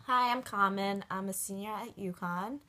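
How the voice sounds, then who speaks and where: A woman's voice: mid-range voice, moderate pace, pushed and bright. A woman in her twenties, raised in Connecticut, giving thoughtful casual interview answers with fillers. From Hong Kong, raised in Connecticut. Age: 20s. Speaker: student interviewee.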